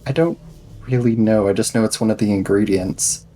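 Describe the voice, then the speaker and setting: A man's voice: fairly low pitch, steady tone, normal speed, dark tone, a little hiss or hum. A man improvising casually in character as a player in a tabletop roleplaying game. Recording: music bed behind the voice.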